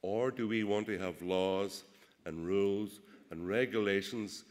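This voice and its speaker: A man with a Derry accent gives a rousing political speech to a crowd, asking rhetorical questions and pausing often on "uh". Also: on the low side. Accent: Derry, Northern Irish. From Northern Ireland. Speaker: political speaker. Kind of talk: public speech at a rally. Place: large event hall. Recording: echoey hall with long reverb.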